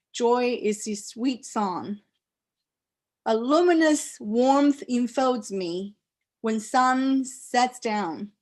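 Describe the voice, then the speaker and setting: A poet whose first language is a Chinese dialect, speaking with a Chinese accent and reading her poems aloud in slow, deliberate phrases with pauses. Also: a little high. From China. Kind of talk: poetry reading. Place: live reading event.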